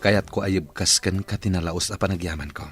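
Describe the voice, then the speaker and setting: A man's voice: low-pitched, brisk, over music, natural voice. An actor playing a grateful, earnest businessman boss in a radio drama.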